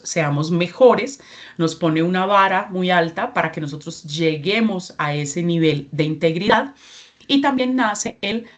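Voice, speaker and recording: A woman in her forties with a Colombian accent, giving a measured presentation at a training workshop, with frequent filler sounds and restarts. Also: lowish voice. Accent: Colombian Spanish. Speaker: regional representative giving a training talk.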